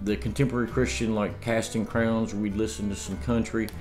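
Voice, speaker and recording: Low-voiced man chatting at home. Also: flat delivery, fairly quick, a little mellow, soft background music. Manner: rambling storyteller with laughs and restarts. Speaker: homesteading vlogger. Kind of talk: casual chat. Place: home dining room, indoors. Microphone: camera across the table.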